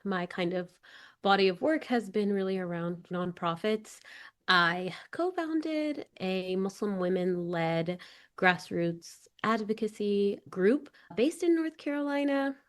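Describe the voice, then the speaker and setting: A woman's voice: medium pitch, animated, slow. A woman speaking casually on a video call as a panelist and nonprofit organizer, friendly and informal, with frequent "like" and "um". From United States. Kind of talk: casual virtual panel talk.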